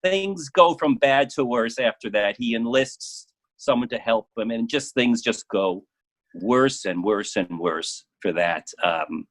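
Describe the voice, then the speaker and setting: A middle-aged man talks in a casual, conversational way, as a guest in an interview. His pitch sits in the average range for a man. He is halting, often doubling back on words while he thinks. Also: animated, fairly bright tone. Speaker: interview guest, playwright and proofreader.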